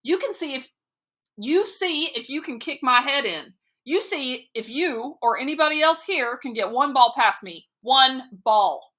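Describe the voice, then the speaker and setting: Woman reading defiant boy goalie, high and bright, indignant. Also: animated, moderate pace. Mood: shouting. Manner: indignant, pleading, rising to shouts. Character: upset middle-school boy goalie, stubborn and proud.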